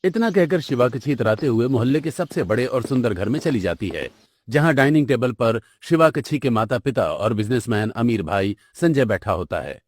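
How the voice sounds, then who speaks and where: A man's voice: mid-range voice, animated, normal speed, no room sound. A man narrating a cartoon story in a scripted storytelling style.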